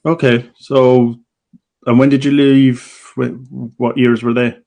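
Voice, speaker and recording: A man hosting a relaxed roundtable interview, asking the guests questions. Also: medium pitch, takes it slowly. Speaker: host. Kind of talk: casual interview chat.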